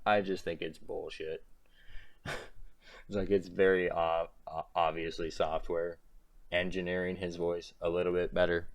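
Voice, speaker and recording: A young man with a low, strained voice, rambling through an exasperated commentary rant and laughing between points. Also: level tone, a little slow, no room sound. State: strained throat. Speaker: commentary creator. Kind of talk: ranting commentary.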